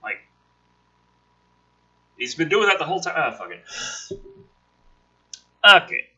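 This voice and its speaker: A man hosts a casual script reading with sarcastic commentary. His voice is very bright and forceful with a raspy edge. He swears freely and swings his pitch widely between narration and rant. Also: very animated, slow, highs cut off. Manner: sarcastic, profane. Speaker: host reading a film script aloud with commentary. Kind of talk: casual dramatic script reading with ranting asides.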